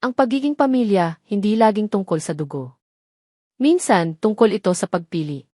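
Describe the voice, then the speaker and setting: Lady reading a gentle loving filipino dad in a romance audio drama, earnest, measured, dry sound. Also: medium pitch, expressive, no echo, close to an everyday voice. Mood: gentle, reassuring. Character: earnest loving man, tender father and suitor.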